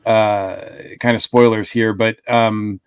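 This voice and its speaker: A man's voice: on the low side, level tone, slow pace, clear, resonant tone, no room sound. A man co-hosting a casual podcast discussion, thinking aloud with many fillers. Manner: thoughtful, hedging with fillers. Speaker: podcast co-host.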